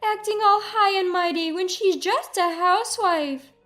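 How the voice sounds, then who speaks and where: A woman's voice: extremely high pitch, a little slow, a bit bright, faint music behind, pitched above the performer's own voice. A woman voicing a haughty, scolding older mother-in-law in a dramatized family story, accusing and indignant.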